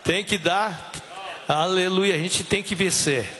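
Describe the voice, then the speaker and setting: A middle-aged man, a pastor, leading a church service with fervent, repetitive prayer and call-and-response, his voice hoarse. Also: high-pitched, slow, pushed and bright. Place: church hall.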